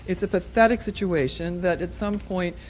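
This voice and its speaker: A woman's voice: lowish voice, moderate pace, low-quality recording. Woman speaking emphatically, with background noise. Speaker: audience member asking a question. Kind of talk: question and comment at a conference.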